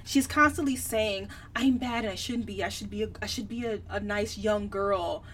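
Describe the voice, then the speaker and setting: A woman's voice: medium pitch, normal speed, fairly bright tone. A woman co-hosting a book podcast, talking casually in a candid, opinionated, colloquial way. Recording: distant mic with background noise.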